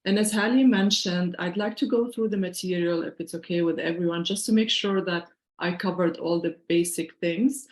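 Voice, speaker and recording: A woman's voice: lowish voice, moderate pace, echoey room. A middle-aged woman from Syria with a Syrian accent, presenting an online financial wellness workshop in an earnest, explanatory, conversational way over a video call.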